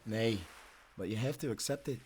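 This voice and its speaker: A steadfast seafarer played by a man with a mid-pitched voice and firm, old-fashioned nautical phrasing. He is stern yet merciful, in cinematic audio drama style, with faint ambience behind him. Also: moderate pace, background noise, lightly acted. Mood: calm, resolute. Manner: archaic seafaring speech, firm. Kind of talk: dramatic audio roleplay acting.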